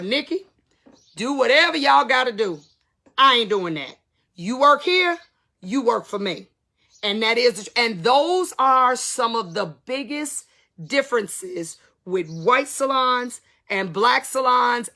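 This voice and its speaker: A woman's voice: fairly high pitch, vocal fry, pushed and bright. A woman of fifty giving blunt, emphatic salon business advice in a casual, colloquial way. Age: 50s. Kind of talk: casual talk to camera.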